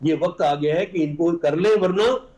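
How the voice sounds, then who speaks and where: A man with a Pakistani accent, a politician guest arguing his point haltingly with English words mixed in. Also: a little high, darkish tone, poor audio. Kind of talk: political argument on a talk show.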